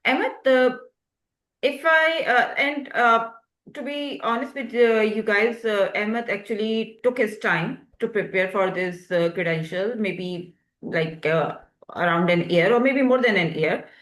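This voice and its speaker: A woman's voice: mid-range voice, a little slow, a bit bright, echoey room. A woman hosting a webinar interview, polite and hesitant, with many fillers. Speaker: webinar host.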